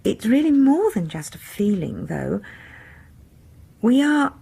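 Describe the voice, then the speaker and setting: An actress in a British radio horror drama playing a timid, genteel, dreamy music lover, with a British accent and a hesitant, demure, confiding manner. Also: female voice, fairly high pitch, sing-song, slow pace, vocal fry, dark tone, slightly rough, full character voice.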